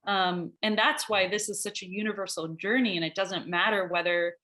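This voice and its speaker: A woman guest on a talk show, with a medium-pitched voice. She speaks thoughtfully and lays out her ideas one after another. Her tone stays conversational as she goes. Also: fairly even intonation, normal speed, sharp tone. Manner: thoughtful, structured, lays out points in order. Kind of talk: casual conversational interview.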